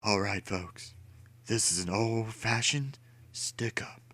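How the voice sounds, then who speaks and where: Man playing a storybook crime boss, hushed growl, sneering, gloating, menacing, dry close sound. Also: fairly low pitch, slow, no echo. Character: sneering, menacing gangster villain with a split face.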